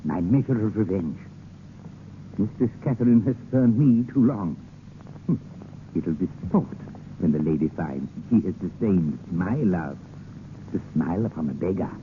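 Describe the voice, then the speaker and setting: An actor playing a sly, vengeful French king with halting, deliberate theatrical delivery in 1930s radio style. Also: sits low, expressive. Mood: bitter gloating. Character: sly, vengeful king of France toying with a poet. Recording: noisy, narrow-band 1930s radio broadcast.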